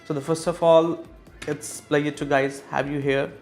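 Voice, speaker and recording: Man from Pakistan, thirties, interview guest, monotone, fillers and restarts. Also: on the high side, creaky, music in the background. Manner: halting, many fillers and restarts. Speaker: real estate executive guest. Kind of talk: interview answers.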